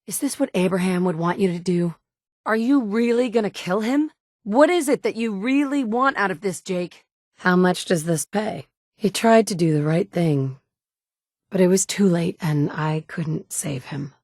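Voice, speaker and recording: A woman's voice: mid-range voice, lively intonation, a little slow, darkish tone, no room sound. A woman voicing the player character in a video game, a capable survivor who talks in a direct, firm way. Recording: dry studio recording.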